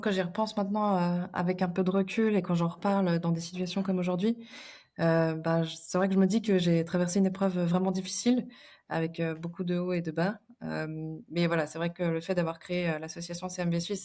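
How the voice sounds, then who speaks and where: A woman in her thirties from Switzerland speaks as a podcast guest in a reflective way, with some fillers. Her voice is somewhat low and mellow. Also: level tone, fairly quick. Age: 30s. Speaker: guest, association co-founder. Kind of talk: casual podcast interview.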